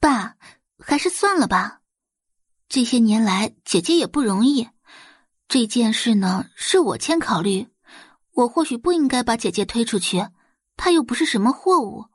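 A woman's voice: a little high, animated, normal speed, husky, no echo, clean studio sound. A woman voicing a sweet, scheming young daughter in an audio drama, solicitous and dutifully meek. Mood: meek contrition.

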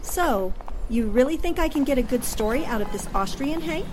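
A woman's voice: high-pitched, animated, moderate pace, a little mellow, slight background noise, low-quality recording. An actress playing a spirited, earnest newspaperwoman heroine in a theatrical 1940s-style radio drama. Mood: curious. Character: spirited, indignant female newspaper columnist heroine.

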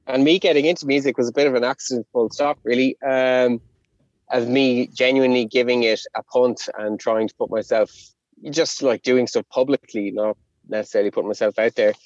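A man's voice: medium pitch, fairly even intonation, fairly quick, no echo. Chatty man, dull dry sound. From Connemara, Ireland. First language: Irish. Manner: rambling, self-deprecating, chatty with many fillers. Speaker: rapper, interview guest. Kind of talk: casual storytelling.

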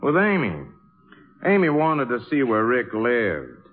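An actor playing an easygoing American musician who narrates, wry and conversational, in 1950s radio drama style. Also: male voice, medium pitch, big pitch swings, unhurried. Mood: wry, storytelling. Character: easygoing male musician pal who tells the story. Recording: tinny old radio broadcast, loud music.

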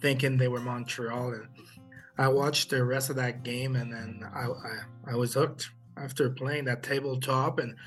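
A middle-aged former hockey player from Haiti, raised in Quebec, telling stories in an interview with many pauses and restarts. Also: male voice, mid-range voice, rough recording. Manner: halting, many fillers and restarts. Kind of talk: interview storytelling.

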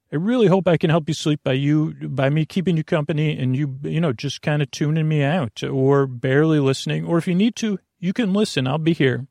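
Creaky-voiced man, rambling sleep podcast host. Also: a little high, darkish tone, no echo, studio quality. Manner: meandering, halting, trailing off into tangents; soothing, lulling.

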